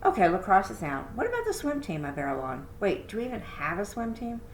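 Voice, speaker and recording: A woman's voice: mid-range voice, big pitch swings, fast, voice pushed up, lightly acted. A stubbornly hopeful middle-school girl from a storybook, acted out by a woman reader. She is sarcastic and dramatic about her own shortcomings. The sound is roomy, with faint noise behind. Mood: hopeful, scheming. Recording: roomy echo, background noise.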